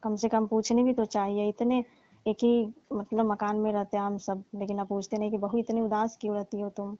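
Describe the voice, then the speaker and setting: A woman's voice: medium pitch, flat delivery, moderate pace, warm tone, no echo, natural voice. A woman playing a coy, complaining young daughter-in-law in a staged comic phone call. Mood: reproachful. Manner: coy, hinting, complaining, teasing. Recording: muffled, lo-fi, dry call recording.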